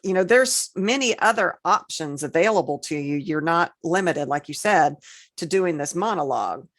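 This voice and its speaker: A woman's voice: low voice, animated, moderate pace, a bit bright. A woman co-hosting a podcast, giving casual conversational advice with plain examples and an agreeable manner. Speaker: podcast co-host.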